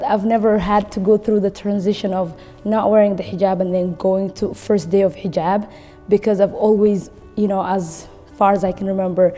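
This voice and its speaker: Young woman, mellow voice, reverberant room. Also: medium pitch. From Somalia, later lived in Kenya. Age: 20s. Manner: conversational, frequent "you know". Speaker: recent graduate, guest book reader. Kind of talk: casual personal talk about a book. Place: indoor room with wood-panel wall, seated. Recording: reverberant room with background music.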